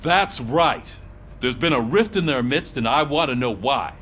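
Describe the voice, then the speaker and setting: A man playing a gruff, commanding police sergeant in a video game, giving brisk, authoritative orders. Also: mid-range voice, lively intonation, a bit bright, a little hiss or hum. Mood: businesslike, firm.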